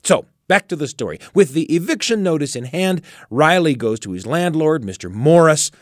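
A man's voice: on the high side, animated, moderate pace, dry recording, clean studio sound. A man hosting a program, giving scripted storytelling narration. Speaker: host.

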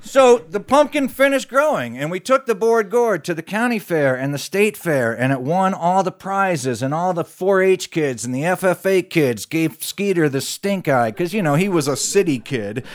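A man with a slightly high voice performs live as a storyteller. He spins a tall tale in a folksy, conversational manner, turning to his listeners with animated asides. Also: moderate pace, few pauses. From West Virginia, United States. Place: recorded live in a studio.

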